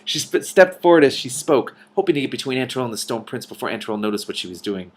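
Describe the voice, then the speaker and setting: A man's voice: medium pitch, sing-song, fast talker. A man reading a storybook aloud expressively, with casual commentary. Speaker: online book reader and commentator.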